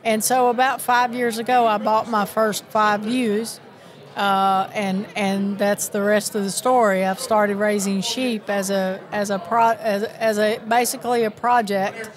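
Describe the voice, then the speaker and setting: A woman's voice: mid-range voice, flat delivery, measured pace. A woman in her sixties from Miami with a Southern accent, chatting earnestly and with many restarts in a live interview at a busy convention. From Miami, Florida. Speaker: sheep producers association president.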